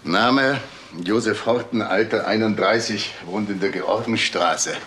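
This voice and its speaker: A man's voice: on the low side, unhurried, a bit bright, slight background noise. A man playing a police officer in a crime radio drama, with dry, sardonic questioning.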